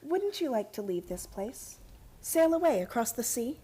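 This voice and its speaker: A woman's voice: a little high, very animated, moderate pace, mellow, breathy edge. A woman playing an earnest, headstrong young heiress of a factory family in a radio play, speaking insistently. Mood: gentle, coaxing. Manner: earnest, insistent, pleading to commanding.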